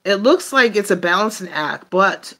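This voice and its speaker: Halting woman reading tarot, lively pitch. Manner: halting, thinking aloud, trailing off mid-phrase. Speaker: tarot reader. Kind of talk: casual improvised tarot reading to viewers.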